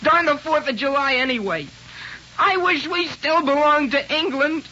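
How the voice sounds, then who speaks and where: A man's voice: very high voice, normal speed. An actor playing an earnest, dramatic sixteen-year-old American boy, impassioned and boyishly pompous, in old radio drama style. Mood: bitter, furious. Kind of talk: 1950s radio drama acting. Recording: muffled, noisy old radio broadcast.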